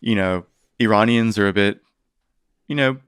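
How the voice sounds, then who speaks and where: A man with a lowish, resonant voice speaks as a historian guest on a podcast. He answers thoughtfully, hedging and restarting often, recorded close and dry in a studio. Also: moderate pace, clean tone, dry recording. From United States. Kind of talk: podcast interview.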